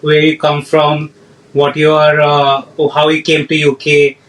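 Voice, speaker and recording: A man hosting a relaxed podcast interview, slightly high-pitched and very flat in delivery. He hesitates often and fills pauses with "uh". He sounds set back from the microphone. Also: recorded from a distance, poor audio. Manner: hesitant, many fillers. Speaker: podcast host.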